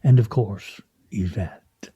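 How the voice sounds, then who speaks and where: Pompous, muffled theatrical husband. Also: male voice, fairly low pitch, takes it slowly, dark tone, slightly rough, no echo, heavily acted. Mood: hushed, conspiratorial. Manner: pompous, mock-formal, theatrical. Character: wry, theatrical, teasing husband with grandiose fantasies. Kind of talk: stage radio play comedy acting.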